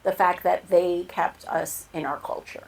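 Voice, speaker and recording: A woman's voice: on the low side, steady tone, unhurried, gravelly, low-quality recording. A woman from Winnipeg co-hosting a live podcast, chatty and laughing easily as she recalls her youth. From Canada, Winnipeg. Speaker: podcast co-host. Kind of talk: casual chat at live podcast. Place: live podcast recording venue.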